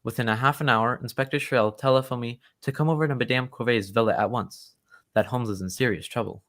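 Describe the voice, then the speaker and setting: Genteel, old-fashioned gentleman storyteller for a radio play, played by a man, mid-pitched, loyal and excitable. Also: fairly quick, lightly acted. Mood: earnest storytelling. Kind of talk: old-time radio drama acting.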